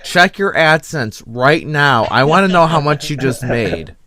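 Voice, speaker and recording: A man with a fairly high voice hosts a casual group discussion. He rambles along in a joking, conversational way. The sound is very dry, with no room echo around him. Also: expressive, measured pace, bright, forceful tone. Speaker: host of an online panel discussion.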